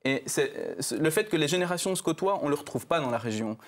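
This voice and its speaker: A man's voice: fairly high pitch, lively intonation, fast. A local election candidate in a televised debate who speaks earnestly and often restarts his phrases. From Italy. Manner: earnest, hesitant with repeated restarts.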